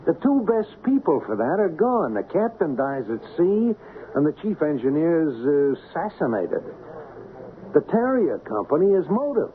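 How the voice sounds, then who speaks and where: An actor playing an eager, earnest police detective who thinks aloud haltingly in an old radio crime drama. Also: male voice, a little high, big pitch swings. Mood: eager, tentative. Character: eager, theorizing police colleague of a lieutenant. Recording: narrow, noisy old radio broadcast.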